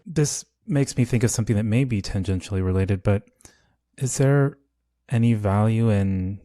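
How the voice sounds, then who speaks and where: A man's voice: fairly low pitch, moderate pace, dark tone, no echo, studio quality. A man asking thoughtful, tentative questions as the interviewer on a podcast.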